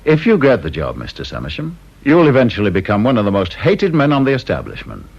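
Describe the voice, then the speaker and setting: A man's voice: medium pitch, wildly swooping pitch, fast, gravelly, husky, full character voice. A middle-aged man with an English accent playing a caustic, domineering board chairman in a British radio drama, sardonic and officious. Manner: sardonic, officious, drawling emphasis. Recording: dull, lo-fi radio recording.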